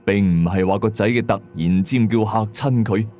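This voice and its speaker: A man with a Hong Kong Cantonese accent tells a horror story in the first person, in a suspenseful, colloquial, expressive way. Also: fairly low pitch, moderate pace, dull audio. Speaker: ghost story narrator. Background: music behind the voice.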